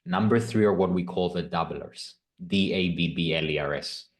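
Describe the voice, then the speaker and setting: A man's voice: low voice, normal speed, roomy sound. A man with a Greek accent running a live sales call, coaching and leading with questions, often checking in with "right?". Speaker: sales closer.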